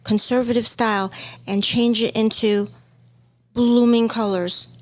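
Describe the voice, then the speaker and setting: A woman's voice: fairly high pitch, steady tone, measured pace. A middle-aged woman from Hong Kong, a native Chinese speaker, co-hosting a casual podcast chat as a stylist and telling stories from her past. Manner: storytelling, warm reminiscing.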